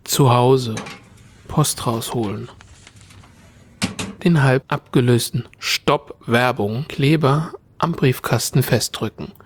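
A weary, solitary bureaucrat of a radio play, acted by a man speaks slowly at a middling pitch. The delivery is introspective and measured, in terse phrases, over faint background noise. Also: close to an everyday voice. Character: weary, solitary male bureaucrat with quiet grief. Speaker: narrator and lead actor in an audio drama. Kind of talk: first-person narrated radio play.

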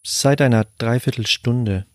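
An actor playing a shy, pensive sous-chef who speaks in a hesitant murmur in an audio drama. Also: male voice, medium pitch, unhurried, no echo, studio quality, close to an everyday voice. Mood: pensive, subdued.

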